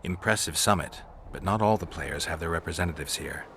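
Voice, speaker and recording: An actor playing a stoic monster hunter in a fantasy game, speaking tersely and deadpan in a gravelly voice. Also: male voice, low-pitched, brisk, slight background noise. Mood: dry, probing. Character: gruff, stoic male monster hunter for hire. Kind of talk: video game dialogue acting.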